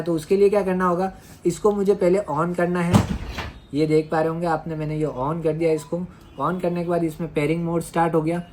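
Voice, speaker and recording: A man's voice: sits high, a little mellow. A man from India presents a hands-on product tutorial. He talks in an instructional way, going step by step and repeating himself. Some ambient noise sits behind his voice. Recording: clear background noise.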